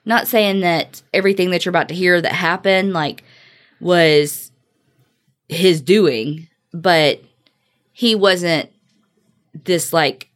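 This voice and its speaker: A woman's voice: lowish voice, takes it slowly, long pauses. An American woman co-hosting a podcast, telling a story in a casual, conversational way with informal, joking asides. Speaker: true-crime podcast co-host.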